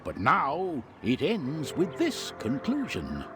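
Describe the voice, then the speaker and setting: Hugely expressive storybook narrator. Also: male voice, fairly high pitch, slow, creaky, over music, exaggerated voice. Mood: storytelling. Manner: theatrical storyteller. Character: storybook narrator of a fantasy tale.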